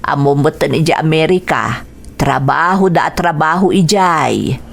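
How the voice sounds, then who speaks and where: A woman's voice: sits low, measured pace, creaky, full character voice. A woman playing a fussy village mother. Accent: Ilocano. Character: middle-aged village mother, warm and fussy. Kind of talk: radio drama acting.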